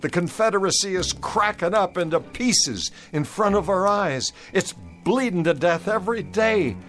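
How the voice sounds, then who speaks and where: An actor playing a troubled Confederate soldier, speaking in a grave, earnest way in an old-time radio drama. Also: male voice, on the high side. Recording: old radio recording with music.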